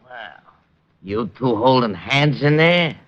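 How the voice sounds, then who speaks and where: A man's voice: medium pitch, animated, slow, a bit bright, dry recording. Hard-edged, sneering gangster gunman. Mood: sneering, threatening. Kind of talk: 1940s-50s radio crime drama acting. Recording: muffled, noisy old radio broadcast.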